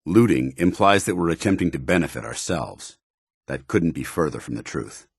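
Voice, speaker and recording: Give this game soldier a very low, clean voice recorded close and dry. He is a stern, earnest paladin, formal and commanding, loyal to his order. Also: animated, moderate pace, vocal fry, husky, dry recording, a faint crowd, exaggerated voice. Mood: firm. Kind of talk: video game companion dialogue.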